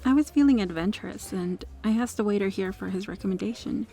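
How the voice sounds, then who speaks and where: A woman's voice: medium pitch, quick pace, gravelly, mellow, close to an everyday voice. A woman playing a kind, protective restaurant regular in an ASMR roleplay, speaking in a polite, articulate, reassuring way. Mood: reassuring, upbeat. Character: kind, well-spoken female restaurant regular, firmly protective. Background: music.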